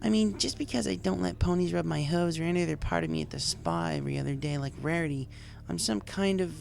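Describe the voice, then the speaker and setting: A young female cartoon-style pony with heavy creak in a raised voice, performed by a man. She is brash and casual, grumbling her way through a pony tale with a defensive edge. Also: on the high side, sing-song, fast, voice pushed up, exaggerated voice.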